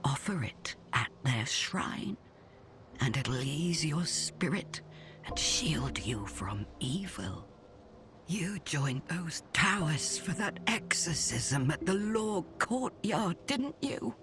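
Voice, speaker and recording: A woman's voice: sits low, sing-song, slow pace, over music, full character voice. An actress playing a protective, devout village mother figure in a video game, fussing and scolding. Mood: worried, scolding. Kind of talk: video game cutscene acting.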